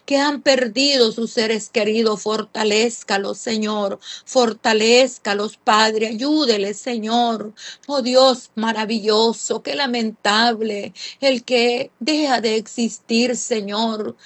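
A woman's voice: on the high side, dry recording. A woman leading a fervent intercessory prayer, breaking it into short phrases punctuated by repeated calls to God. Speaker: prayer leader.

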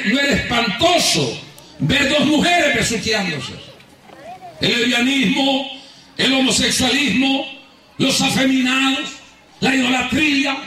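A very high-pitched, bright, forceful Honduran man preaching with fervor. He punctuates the sermon with laughter and shouted calls for applause. His voice rings through an echoey church hall, picked up from some distance with a faint congregation behind. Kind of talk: fervent evangelical sermon.

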